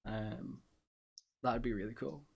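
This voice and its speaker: A man's voice: mid-range voice, fairly even intonation, slow pace, creaky, slightly rough. A man with a Scouse accent hosting a podcast in casual chat, rambling and self-deprecating with lots of fillers. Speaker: podcast host.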